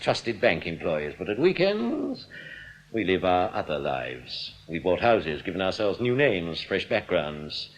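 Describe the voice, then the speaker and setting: An actor in a radio play playing a smugly genial crooked bank manager, urbane, patronising and unruffled. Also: male voice, mid-range voice, close to an everyday voice. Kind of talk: radio drama acting. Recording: dull, muffled radio recording.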